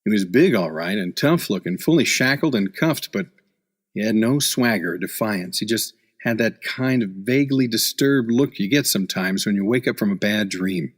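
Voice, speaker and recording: An actor playing a hard-boiled, skeptical newspaper reporter in an old-time radio play, with a wry, blunt manner. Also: male voice, fairly low pitch, expressive, normal speed. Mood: grim narrating.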